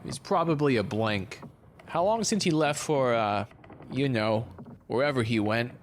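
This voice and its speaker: A man's voice: medium pitch, expressive, measured pace, a little hiss or hum, lightly acted. A man playing a cocky, laid-back shipmate in an audio drama, casual and flippant. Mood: unbothered.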